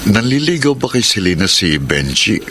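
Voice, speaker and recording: A man playing a measured, wary middle-aged Filipino uncle in a radio drama. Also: fairly low pitch, sing-song. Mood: measured, curious.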